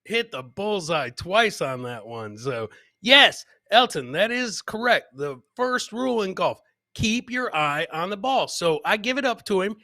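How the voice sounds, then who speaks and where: A man with a bright, forceful, slightly high voice hosts a comedy livestream, getting over a cold. He talks in casual slang and hammers phrases by repeating them. The sound is close and dry. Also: very animated, a little slow, dry recording. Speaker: podcast host.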